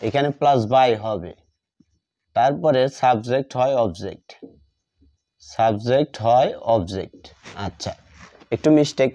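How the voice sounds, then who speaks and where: A man with a Bengali accent teaching a grammar lesson step by step, dictating in short, halting pieces and repeating himself. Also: medium pitch, mellow. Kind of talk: step-by-step lesson, explaining while writing. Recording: dull, muffled lo-fi audio.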